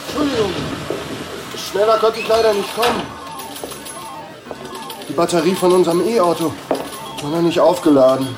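A man's voice: sits high, moderate pace, long pauses, over music, natural voice. An actor playing a meek, hesitant young father and marketing employee in a radio play, polite and questioning. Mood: apologetic, nervous.

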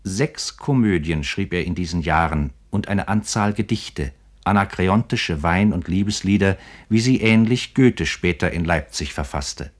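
A man's voice: low voice, measured pace. A man narrating a literary radio programme in a formal, articulate, scripted 1950s German broadcast style.

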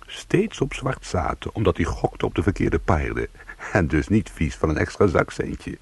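Casting: streetwise, world-weary crook for radio noir, very raspy low voice, hard-boiled. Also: male voice, big pitch swings, moderate pace, dry recording, full character voice. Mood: musing. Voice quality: husky, gravelly. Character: world-weary, streetwise male crook telling his own story. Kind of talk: radio crime drama, first-person narration and dialogue.

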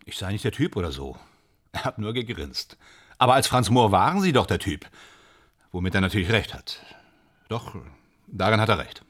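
An actor playing an anxious, evasive, self-doubting man in a German radio drama, speaking in halting, clipped phrases. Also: fairly low pitch, very animated, a bit bright. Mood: agitated, self-doubting. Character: anxious, evasive film actor dreading a screen test.

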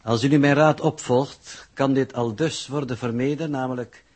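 A man's voice: medium pitch, fairly even intonation, normal speed, a little mellow, close to an everyday voice. A man playing an emotionless android coordinator in a radio drama, speaking in a formal, pedantic, detached manner. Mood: calmly advising. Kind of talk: 1960s radio drama acting.

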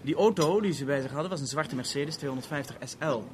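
A male actor as an urbane French police officer in an old radio play, heard through a noisy recording. He is wordy and measured, dryly ironic, with a cool edge of warning in his formality. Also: a little high, lightly acted. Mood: businesslike. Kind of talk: 1970s Dutch radio drama acting.

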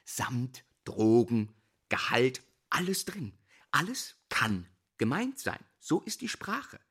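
An actress playing a musing teacher lecturing on poetry in a radio drama, incantatory and repeating syllables. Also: female voice, extremely low pitch, sing-song, takes it slowly, slightly rough, exaggerated voice. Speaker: actor.

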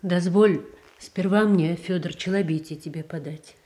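A woman's voice: on the low side, lightly acted. An actress playing a tsaritsa who speaks earnestly and persuasively to her husband in a Soviet-era radio drama. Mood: pleading. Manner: earnest, measured, softly persuasive. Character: tsaritsa Irina, gentle pleading wife.

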